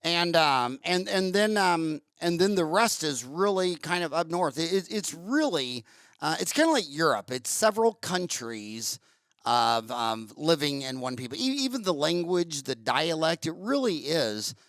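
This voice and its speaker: A man's voice: a little high, sing-song, measured pace, a bit bright, no room sound, clean studio sound. A man hosting an interview podcast, rambling and searching for words with many ums. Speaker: podcast host. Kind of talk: casual interview chat.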